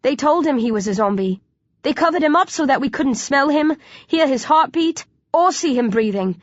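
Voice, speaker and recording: A woman's voice: high-pitched, lively intonation, moderate pace, no room sound, lo-fi sound, voice pushed up. A woman voicing a desperate, pleading teenage zombie in an audiobook, urgent and impassioned. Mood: frantic babbling. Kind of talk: audiobook character dialogue.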